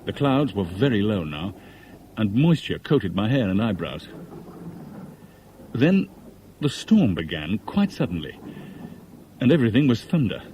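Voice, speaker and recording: A man's voice: on the low side, big pitch swings, measured pace, pauses often, warm tone, slight background noise, low-quality recording. An actor playing a terse, resolute Englishman in a 1970s radio drama, with an English accent and a clipped, serious manner. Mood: tense narration. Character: respectable Englishman, terse, resolute, embittered by love. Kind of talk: 1970s British radio drama acting and first-person narration.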